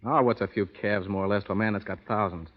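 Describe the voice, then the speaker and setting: An actor playing a reckless, easygoing cattle rustler with a flippant manner and an American Western accent in an old radio western. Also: male voice, lowish voice, fairly even intonation, fast talker, close to an everyday voice. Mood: flippant, defiant. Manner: flippant, folksy. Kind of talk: 1930s-40s radio western acting. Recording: muffled old radio recording, hall reverb.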